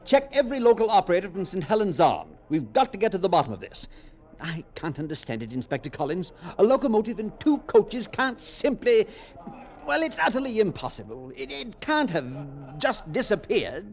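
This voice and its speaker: An actor playing a fussy, incredulous railway official, agitated and emphatic, in a mid-century radio drama. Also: male voice, high voice, sing-song, a little mellow. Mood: flustered disbelief. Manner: fussy, agitated, emphatic, halting when upset. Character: flustered, incredulous male British railway official. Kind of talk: 1940s-50s radio drama acting. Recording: dull vintage radio recording, noisy background voices.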